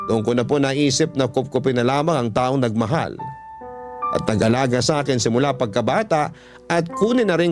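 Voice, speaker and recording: A male radio host with a mid-pitched voice narrates a scripted letter in first person. His delivery is measured, polite and sentimental, with soft music playing behind him.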